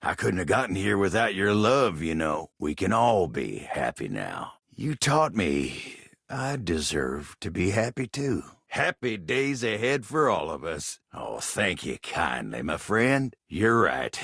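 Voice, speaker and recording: A man voicing a gentle, lovestruck cowboy in a video game, with a Western American drawl, a gravelly, husky voice and a bashful, courteous, folksy manner. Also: deep voice, big pitch swings, takes it slowly, dry recording, clean studio sound, full character voice. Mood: warm, courteous. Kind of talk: video game character voice acting.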